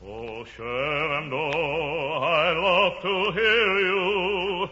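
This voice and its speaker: A man singing a slow folk song on an old radio broadcast. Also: on the high side, fairly even intonation. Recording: dull vintage recording with loud music.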